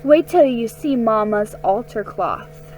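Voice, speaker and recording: A woman's voice: on the high side, animated, slow, music in the background, rough recording. A woman voicing an eager, sweet young girl in a children's storybook, with an earnest, wide-eyed manner. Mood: happy, hopeful.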